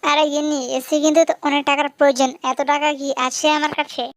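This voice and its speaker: A woman's voice: high-pitched, little pitch movement, quick pace, dry recording, studio quality, natural voice. A woman voicing a hot, broke, grumbling husband fox in a cartoon. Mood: whining, exasperated. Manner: whining, grumbling. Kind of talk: cartoon character dialogue.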